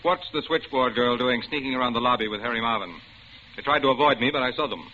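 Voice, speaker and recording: An actor playing a suspicious, hot-tempered law partner who bullies and accuses, in the style of 1950s radio drama. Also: male voice, medium pitch, quick pace, bright, forceful tone, close to an everyday voice. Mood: suspicious, angry. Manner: accusing, hectoring. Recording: muffled vintage radio broadcast, faint noise.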